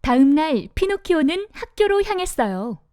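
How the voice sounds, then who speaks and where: A woman narrating a children's storybook in an expressive, sing-song manner. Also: high-pitched, moderate pace, background noise.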